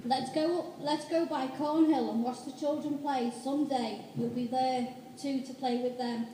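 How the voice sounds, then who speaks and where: A woman playing a meek, polite Victorian gentleman in a radio play, hesitant and deferential. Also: high voice, steady tone, moderate pace, lightly acted. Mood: fond. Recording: echoey hall, far off, noisy with clicks.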